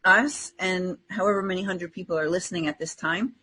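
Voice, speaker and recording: A woman's voice: on the low side, steady tone, fairly quick, pushed and bright, slightly rough. A woman talking in an encouraging, affirming way, as in spiritual readings and casual talk show chat. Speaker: spiritual guest co-host giving card readings.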